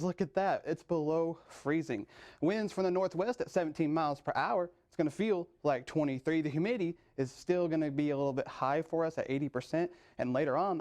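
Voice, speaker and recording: Young man with a higher-pitched voice, presenting weather. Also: a little mellow. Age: young adult. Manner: conversational, eager, repeats phrases. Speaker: student TV weather anchor and reporter. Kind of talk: chatty news and weather presenting. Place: TV studio and news reports.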